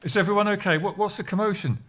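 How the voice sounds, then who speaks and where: A man's voice: high-pitched, steady tone, quick pace, a bit bright. A man playing an older, self-important office colleague with a dry, pedantic manner in an audio sitcom.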